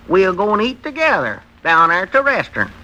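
An actor playing an agreeable, naive country storekeeper with a rural Southern US accent in 1940s radio comedy. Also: male voice, high voice, big pitch swings, fairly quick, bright, forceful tone, full character voice. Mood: eager, agreeable. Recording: noisy old 1940s radio recording.

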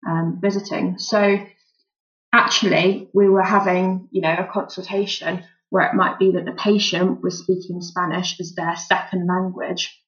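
A woman doctor in a relaxed interview, answering thoughtfully with frequent "um" fillers. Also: lowish voice, unhurried, lo-fi sound. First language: English. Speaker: volunteer doctor, guest.

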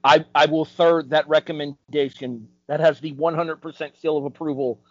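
Higher-voiced man in his thirties, dull audio. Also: steady tone, normal speed, no room sound. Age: 30s. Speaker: podcast co-host. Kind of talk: casual panel debate about game shows. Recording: dull, dry lo-fi recording.

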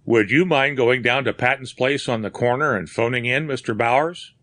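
A man voicing a worried police officer who questions people briskly. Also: mid-range voice, fairly bright tone, voice pushed up, natural voice.

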